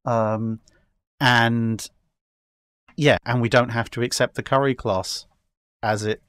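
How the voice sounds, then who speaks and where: A man in a casual discussion, explaining his speculative ideas in a halting way with repeated words. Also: mid-range voice, fairly even intonation, a bit bright, no room sound. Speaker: podcast guest.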